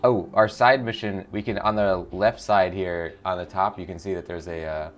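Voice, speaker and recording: A man's voice: low voice, fairly even intonation, normal speed, muffled recording. A man giving casual, enthusiastic game commentary as a show host, speaking informally and reading on-screen text aloud. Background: faint music.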